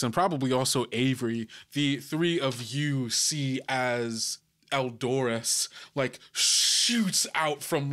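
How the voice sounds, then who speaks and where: A man working as game master, narrating a tabletop adventure in halting, deliberate phrases. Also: mid-range voice, a bit bright.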